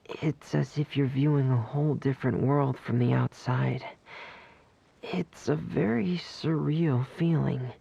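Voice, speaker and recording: Shy, slow-spoken dreamy teenage boy. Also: medium pitch, creaky, warm tone, breathy edge, highs cut off, heavily acted. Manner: hesitant, earnest, unhurried. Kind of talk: video game character acting.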